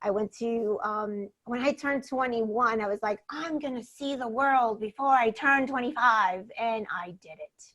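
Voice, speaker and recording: A woman from Venezuela, a native Spanish speaker, telling her life story as a podcast co-host in an animated way, laughing often and acting out quotes. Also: on the high side, a little slow, hardly any pauses. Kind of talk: casual storytelling chat.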